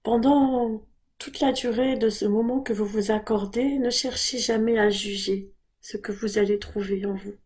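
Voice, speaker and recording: Female meditation guide, mellow and breathy, very measured pace, calm and gentle instruction. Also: fairly high pitch. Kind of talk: guided body scan meditation.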